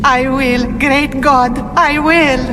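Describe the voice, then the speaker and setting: A woman's voice: high voice, steady tone, takes it slowly, noisy background. An actress playing a poor, devout, humble Greek mother in a video game, speaking earnestly and reverently. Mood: grateful, reverent. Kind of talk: video game cutscene acting.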